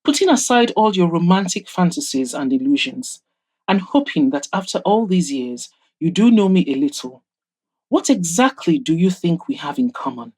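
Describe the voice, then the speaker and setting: A woman's voice: on the low side, sing-song, normal speed, a little mellow, breathy edge. A woman voicing a proud, guarded woman in an audio drama, speaking in pointed, formal, exasperated phrases. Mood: indignant. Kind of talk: audio drama character dialogue.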